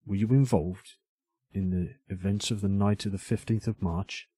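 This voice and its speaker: Man acting as husky, stern older inspector. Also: on the low side, fairly even intonation, mellow, no room sound. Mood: stern, measured. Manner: measured, authoritative, formal. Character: stern, probing older male inspector questioning a young arsonist. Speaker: voice actor in an audio drama. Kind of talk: dramatic interrogation dialogue.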